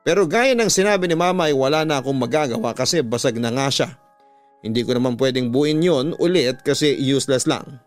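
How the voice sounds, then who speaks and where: A Filipino man tells a scripted story in the first person, as on a radio drama program. His pitch sits in the middle for a man and his delivery is measured and dramatic. Music plays softly behind him. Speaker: radio drama host narrating listener letters. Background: background music.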